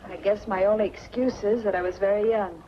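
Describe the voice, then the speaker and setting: A woman's voice: mid-range voice, steady tone, fairly quick, natural voice. An American actress playing a guarded, wistful young woman with a secret past in a 1940s film noir. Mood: wistful, confiding. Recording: muffled, noisy 1940s film soundtrack.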